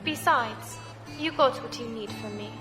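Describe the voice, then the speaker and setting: A woman playing a self-doubting ex-pirate runaway in a science fiction audio drama, with a timid, halting delivery. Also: on the high side, close to an everyday voice. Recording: music bed behind the voice.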